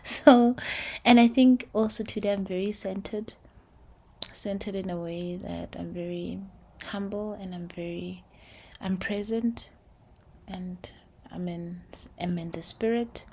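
A woman hosting a podcast, speaking softly and calmly in a reflective faith talk, slipping between English and Sesotho phrases. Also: medium pitch, unhurried, a little hiss or hum. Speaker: podcast host.

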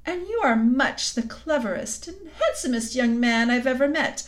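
Sly queen, coaxing and cold, read by a woman; high, lively voice. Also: moderate pace. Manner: coaxing, silky, suddenly sharp. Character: sweetly scheming cold queen, tall and commanding.

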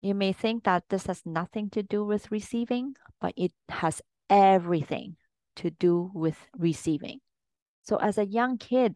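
A woman's voice: fairly low pitch, darkish tone, dry recording. A woman from Hong Kong hosting her own podcast as a life coach, talking through her points in an earnest, conversational way and repeating them for emphasis. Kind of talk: solo podcast monologue.

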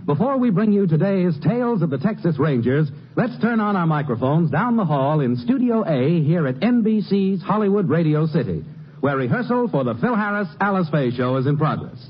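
Male vintage radio announcer, slightly high voice, scripted delivery, muffled recording with faint noise. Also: very animated, a little fast.

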